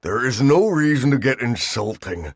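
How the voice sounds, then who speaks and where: A man's voice: medium pitch, sing-song, normal speed, breathy edge, dry recording, studio quality, pitched above the performer's own voice. A man voicing a bewildered young farm boy stuck in a cell, pleading and exasperated.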